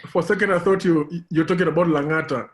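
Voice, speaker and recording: A man's voice: on the high side, fairly even intonation, quick pace, a little hiss or hum. A man from Kenya coordinating an online class, joking and chatting casually on a video call. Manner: joking, talks in restarts. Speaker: course coordinator.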